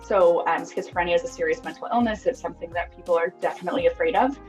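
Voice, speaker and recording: A woman's voice: lowish voice, racing, faint music behind. A woman in her thirties from Costa Rica, talking openly and earnestly as a podcast guest, with many ums and likes. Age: 30s. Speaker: podcast guest, app founder. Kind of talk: reflective interview talk.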